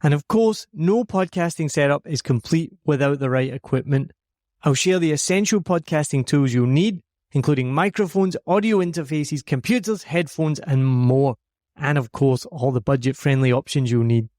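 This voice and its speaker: A man's voice: on the high side, normal speed, a little mellow, no room sound. A Scottish man hosting his podcast from a home studio, delivering an upbeat, earnest scripted monologue. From Scotland. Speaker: podcast host.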